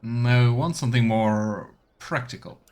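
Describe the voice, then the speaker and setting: A man giving casual let's play commentary as a streamer, halting and murmuring to himself as he thinks aloud. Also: mid-range voice, a little mellow. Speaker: streamer playing a video game. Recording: clear background noise, keyboard clicks.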